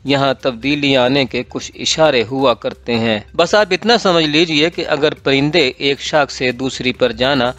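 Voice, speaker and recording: A man's voice: mid-range voice, measured pace, few pauses, a bit bright. A man with a Pakistani accent reading a political column as scripted narration. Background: background music.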